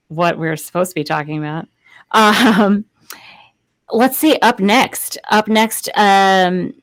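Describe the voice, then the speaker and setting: A young adult woman chatting casually as a guest on a video-call panel. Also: lowish voice. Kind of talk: casual chat.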